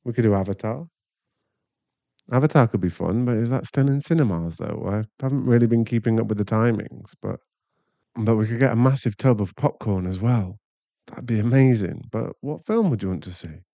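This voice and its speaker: A man plays a caring young British boyfriend in an intimate audio roleplay. His voice is mid-pitched and mellow, chatty and easily flustered. The sound is very dry and slightly muffled. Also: lively intonation, fairly quick, creaky, dry recording. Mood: casual, affectionate. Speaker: voice actor in an ASMR boyfriend roleplay.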